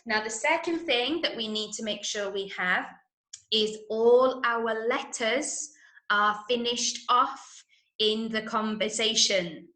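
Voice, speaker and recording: A woman with an English accent leading an online drama workshop for children, giving clear instructions and often checking in. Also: on the high side, a bit bright. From Manchester, England. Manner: clearly instructional, frequent check-ins with okay and right. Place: indoors, online video session, roomy sound. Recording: roomy echo on online call.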